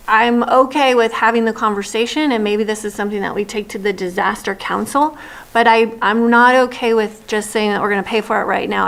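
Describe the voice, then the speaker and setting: A woman's voice: mid-range voice, creaky, a bit bright, low-quality recording. A woman speaking as a county supervisor, giving her opinion in a plain-spoken, firm way at a public meeting.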